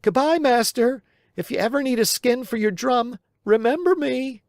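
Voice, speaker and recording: Cheeky puppet boy, read by a man, high, lively, impish storybook voice. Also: moderate pace, vocal fry, no room sound, studio quality, exaggerated voice. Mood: taunting.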